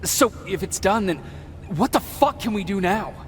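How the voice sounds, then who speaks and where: Guarded resistance soldier. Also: male voice, sits high, expressive, moderate pace. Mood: uneasy. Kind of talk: video game cutscene acting. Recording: faint background noise.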